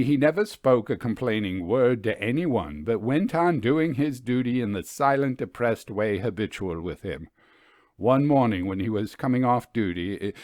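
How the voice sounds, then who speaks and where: A man reading a literary ghost story aloud in a measured, deliberate storytelling manner, with dramatic pauses. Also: mid-range voice, darkish tone, no echo, studio quality. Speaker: audiobook story reader.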